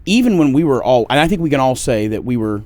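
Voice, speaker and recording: A man's voice: medium pitch, very animated, fast talker, darkish tone, no echo. Joking man in his thirties, dry sound. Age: 30s. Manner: loose, joking, casual swearing. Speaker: podcast co-host. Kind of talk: casual podcast conversation.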